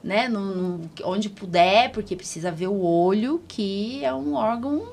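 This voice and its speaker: A woman's voice: mid-range voice, lively intonation, measured pace, vocal fry, a loud crowd. A Brazilian woman doctor hosting a podcast, explaining things in a colloquial, didactic way and often checking for agreement. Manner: colloquial, didactic, seeks agreement with tag questions. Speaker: podcast host, allergist doctor. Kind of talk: conversational medical explanation on a podcast.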